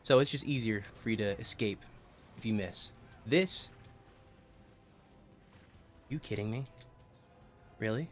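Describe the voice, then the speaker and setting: A man streaming a video game, chatting casually and jokingly while playing, his throat getting a little rough as he's coming down with a cold. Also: fairly low pitch, slow pace, long pauses, fairly bright tone. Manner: joking, rambling with restarts. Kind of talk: casual gaming livestream chat.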